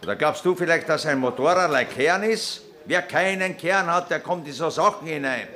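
An actor playing an opinionated, grumbling, suspicious village tavern regular in a radio drama. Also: male voice, a little high, normal speed, sharp tone.

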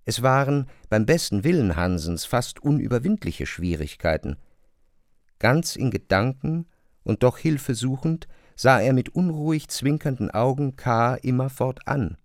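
A man narrates a literary radio play in diction. His delivery is measured and deliberate, and the studio sound is close and dry. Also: mid-range voice, expressive, dry recording.

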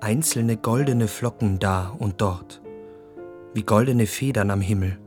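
A man's voice: on the low side, unhurried, a little mellow, faint music behind, natural voice. An actor playing a sensitive, contemplative young man, speaking lyrically in a literary radio drama. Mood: wondering. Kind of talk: poetic radio drama monologue.

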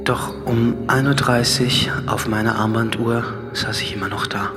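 An actor playing a solitary, coolly analytical man near forty, speaking a measured, introspective literary monologue that often sinks to a murmur. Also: medium pitch, flat delivery, natural voice. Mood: quiet, reflective. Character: brooding, solitary thirty-nine-year-old man, coolly analytical. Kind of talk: literary radio drama monologue. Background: music.

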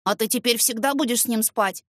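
A woman voicing an energetic young son in an audiobook, earnest and sulky in a childlike way. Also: on the high side, fairly quick, dry recording, clean studio sound, lightly acted. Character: energetic young red-haired son, loud, sulky, candid.

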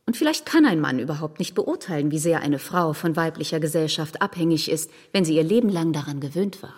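An actress playing a loyal, gently defiant married former governess in a literary radio play, genteel, protective and admiring. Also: female voice, low-pitched, lively intonation, fairly quick, husky, big-room sound.

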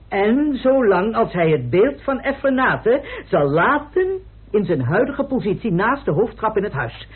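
An actor playing an eager, fussy investigator who declaims in an old radio play. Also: male voice, high-pitched, slightly rough, full character voice. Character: eager, officious investigator reading out documents. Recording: scratchy lo-fi old radio recording.

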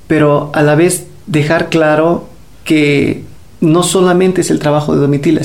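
A man, a musician being interviewed, explaining his ideas in a reflective, measured way. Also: fairly high pitch, a little mellow.

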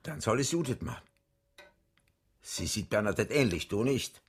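An actor playing an elderly, eccentric widower who longs for death, wistful and then pleading, in a radio drama. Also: male voice, mid-range voice, animated, normal speed, breathy edge. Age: older.

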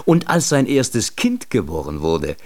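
A man's voice: medium pitch, sing-song, a little slow, a little mellow, no echo. A man narrating a children's fairy tale in a radio play, in a storytelling style.

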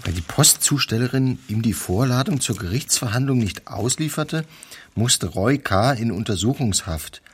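An actor playing a matter-of-fact news reporter with a husky voice, reading a scripted report in a radio drama. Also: male voice, medium pitch, animated, moderate pace.

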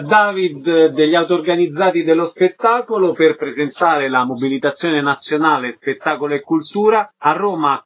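A male radio host with a fairly high-pitched voice. He presents segments and puts questions to his guests. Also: a bit bright. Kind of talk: presenting and interviewing.

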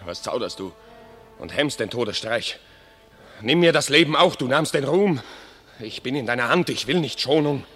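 A proud defeated army commander, radio play, big swooping bright verse delivery. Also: male voice, mid-range voice, expressive, normal speed, breathy edge, faint music behind. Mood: furious. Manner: impassioned, declamatory verse, swinging from defiance to tenderness. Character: proud defeated enemy commander, young man.

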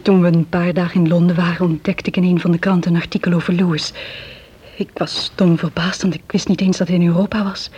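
An actress playing an anxious, addicted woman making a halting confession in a Dutch radio drama. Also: lowish voice, little pitch movement, mellow, slightly rough. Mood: subdued, explaining. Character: anxious drug-addicted young woman confessing a criminal scheme. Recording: muffled, lo-fi old radio play recording.

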